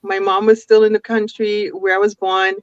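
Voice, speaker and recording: A woman's voice: mid-range voice, level tone, normal speed, smooth, no room sound. A woman in her forties from Jamaica, with a mix of Jamaican and Brooklyn New York accents, chatting as a guest on a video call and laughing often. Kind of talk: casual interview over a video call. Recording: dull lo-fi video call audio.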